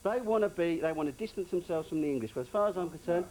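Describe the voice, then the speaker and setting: A man's voice: fairly high pitch, expressive, quick pace, darkish tone. A male studio guest in a heated debate, blunt and dismissive. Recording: noisy 1990s TV broadcast.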